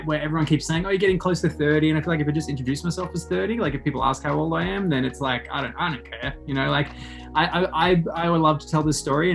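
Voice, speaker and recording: A man's voice: fairly high pitch, vocal fry. A man in his late twenties with an Australian accent, hosting a casual interview in an enthusiastic, informal, rambling way. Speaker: podcast host interviewing a guest.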